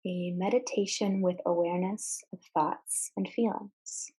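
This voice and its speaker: A woman leading a guided meditation, giving measured instructions in short phrases with deliberate pauses. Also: lowish voice, steady tone, mellow, breathy edge, poor audio.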